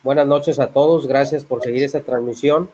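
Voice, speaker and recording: Man, Mexican accent from Michoacán, polite host, hesitant with fillers, mid pitch, muffled stream audio. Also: steady tone, moderate pace, darkish tone, a little hiss or hum. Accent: Mexican Spanish, Michoacán. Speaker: co-host of a Bible study stream. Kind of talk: live-streamed introduction. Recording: dull lo-fi online stream audio.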